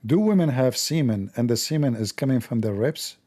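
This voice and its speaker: A man hosting a commentary show, with a soft, mellow voice. He talks in a sarcastic, mocking way, as if making fun of what he reads out. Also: medium pitch, lively intonation, a little fast. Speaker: host.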